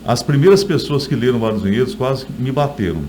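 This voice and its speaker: A man's voice: medium pitch, lively intonation, fast, a little mellow. Middle-aged Brazilian man, noisy recording. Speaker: author being interviewed. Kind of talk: conversational interview storytelling.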